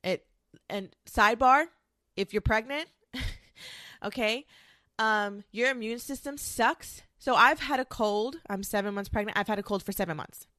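A woman's voice: on the high side, measured pace, bright, forceful tone, dry recording, clean studio sound. A young woman with a congested, nasal voice from a cold, giving frank, chatty advice as a podcast host and swearing casually.

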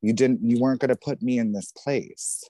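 A man from New Jersey with a New Jersey accent, a podcast guest telling his story candidly, outspoken and animated, swearing freely. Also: mid-range voice, moderate pace, no echo. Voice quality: says he has a feminine voice.

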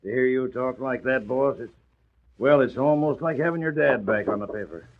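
A man's voice: mid-range voice, normal speed, natural voice. Muffled vintage radio sound carries a man playing an agreeable city editor. He talks easily in a mid-range voice, in classic drama style. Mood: deferential, admiring. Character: agreeable, easygoing male city desk editor. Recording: muffled old radio recording.

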